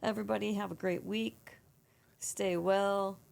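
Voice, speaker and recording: A woman co-hosting a casual podcast with a froggy, slightly hoarse voice, reading a prepared segment and chatting. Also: mid-range voice, steady tone, unhurried, a little mellow. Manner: reads prepared segment aloud, then chats casually. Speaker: podcast co-host.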